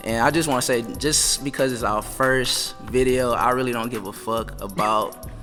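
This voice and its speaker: A man's voice: medium pitch, fairly even intonation, normal speed, fairly bright tone, long reverb tail, faint music behind. A man hosting a casual podcast chat in a gym, talking in a loose, laid-back way. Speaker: podcast host.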